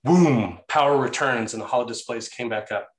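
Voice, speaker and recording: Male narrator for a table read: mid-pitched, scripted stage directions, echoey room sound. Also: moderate pace.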